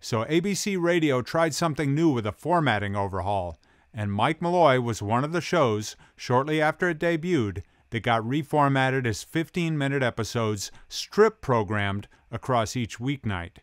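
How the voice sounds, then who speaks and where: A man's voice: medium pitch, expressive, moderate pace, no echo, studio quality. A middle-aged man hosting as a film expert, delivering scripted documentary narration with colloquial, hardboiled slang. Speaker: host, tough guy film expert.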